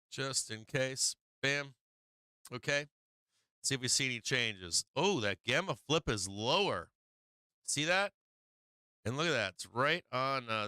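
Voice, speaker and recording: Bright-toned man talking stocks, slightly hoarse. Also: mid-range voice, no room sound, studio quality. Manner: rambling, talks to viewers while walking through charts, many fillers. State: losing his voice. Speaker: stock market live stream host. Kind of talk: casual live chart commentary.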